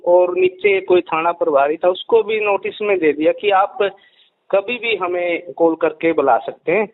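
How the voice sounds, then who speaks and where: A man's voice: high voice, sing-song, measured pace, no echo. A man being interviewed over the phone, explaining a case earnestly and repeating his key points. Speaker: rationalist society president being interviewed by phone. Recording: muffled radio-like phone call recording.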